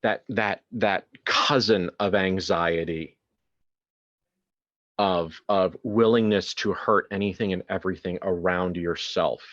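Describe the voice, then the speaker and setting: A man narrating a horror tabletop game as game master in a deliberate, dramatic, halting way, with improvised phrasing. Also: deep voice, fairly even intonation, fairly bright tone, lo-fi sound.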